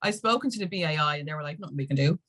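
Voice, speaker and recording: Low-voiced Irish woman telling her story. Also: animated, very fast, a bit bright. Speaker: podcast guest, music industry activist. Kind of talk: casual conversational storytelling.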